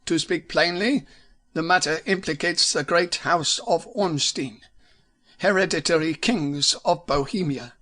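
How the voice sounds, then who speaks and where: A man's voice: a little high, a little slow, sharp tone, pitched above the performer's own voice. A man voicing a masked Bohemian nobleman who is formal and commanding, with a German accent. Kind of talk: audiobook character voice.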